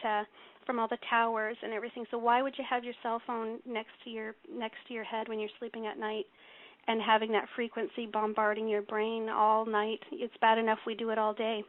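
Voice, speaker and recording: A woman in her forties with a young-sounding voice, giving an earnest, casual talk on a call, with many fillers and restarts. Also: a little high. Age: 40s. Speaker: speaker on a teleconference call. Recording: tinny phone line.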